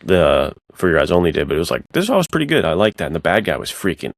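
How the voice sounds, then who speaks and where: A man's voice: deep voice, very animated, fast, gravelly, dry recording, clean studio sound. A man co-hosting a podcast in casual chat, talking with enthusiastic, laid-back bro slang. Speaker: podcast co-host. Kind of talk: casual chat about spy films.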